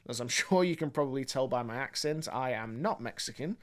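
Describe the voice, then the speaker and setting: A man's voice: mid-range voice, animated. Articulate man presenting a video essay. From born in England, grew up mostly in Australia. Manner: articulate, measured, academic phrasing. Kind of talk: video essay commentary to viewers.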